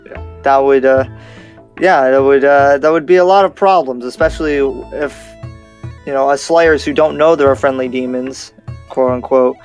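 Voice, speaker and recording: A man playing a skeptical, cautious demon slayer in an improvised game roleplay, halting and repeating himself as he reasons. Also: mid-range voice, fairly even intonation, smooth, soft background music, natural voice.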